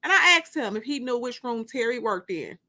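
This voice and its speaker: A woman narrating a first-person audiobook story in an expressive, emphatic, dramatic way. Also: fairly high pitch, fairly quick. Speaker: author and narrator.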